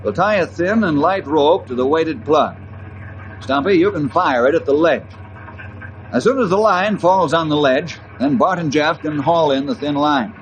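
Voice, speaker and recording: Man playing a brisk, commanding ranger hero. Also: a little high, highs cut off, close to an everyday voice. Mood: confident, decisive. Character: confident, practical ranger hero leading a rescue. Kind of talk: old-time radio adventure acting. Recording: noisy old radio recording.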